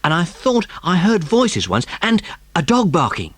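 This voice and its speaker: Man putting on a young British lad, raised pitch, awed, earnest, hesitant, audiobook drama, dry sound. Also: huge pitch swings, a little slow, a bit bright, breathy edge, no echo, exaggerated voice. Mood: eager, admiring. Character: awed, admiring working-class young boy, simple and eager.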